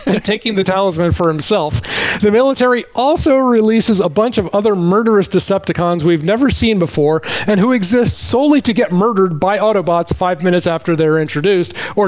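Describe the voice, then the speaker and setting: A man with a notably high-pitched voice gives a comedic movie recap as a podcast host. His delivery is sardonic and exasperated, like someone fed up with the story he is telling. The audio is a little dull and lo-fi.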